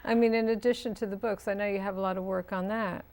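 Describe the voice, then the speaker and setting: A woman interviewer chatting casually, halting and repeating words as she goes. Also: mid-range voice, fairly even intonation, a little mellow. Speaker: interviewer, show host.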